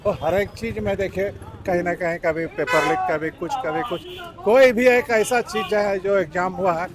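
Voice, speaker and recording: Emphatic eastern man, slightly high voice. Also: normal speed, crowd noise. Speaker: member of the public being interviewed. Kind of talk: spontaneous opinion in a street interview. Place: outdoors in an urban area. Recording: outdoor street with faint traffic noise.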